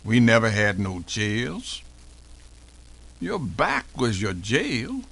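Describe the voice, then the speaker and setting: A man's voice: medium pitch, wildly swooping pitch, takes it slowly, gravelly, a bit bright, exaggerated voice. A man playing an elderly formerly enslaved American man recalling his childhood in a folksy, dialect-heavy dramatic reenactment. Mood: somber recollection. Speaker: professor doing a dramatic reading. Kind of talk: dramatic first-person oral history reenactment.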